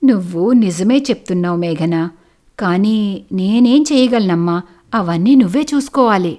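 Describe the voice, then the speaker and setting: Lady doing fed up middle aged mother in law, cartoon moral story, scoldy and weary, lively pitch. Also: moderate pace, close to an everyday voice. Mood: resigned.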